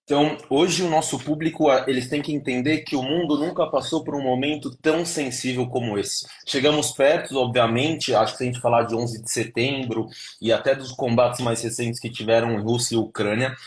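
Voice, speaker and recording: A young man from São Paulo, speaking with a São Paulo accent as a live stream guest. His voice sits at an ordinary male pitch, and he explains in a steady, lecturing manner. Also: brisk. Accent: São Paulo Portuguese. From Brazil, São Paulo. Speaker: interview guest.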